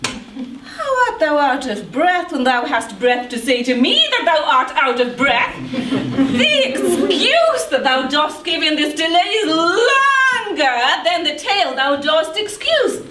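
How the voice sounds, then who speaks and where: A woman's voice: sits high, sing-song, takes it slowly, pushed and bright, slight background noise, heavily acted. A woman playing an eager young girl in love, pleading and impatient for news, in stage verse. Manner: pleading, coaxing, exasperated. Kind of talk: stage acting of verse drama. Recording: echoey room from a distance.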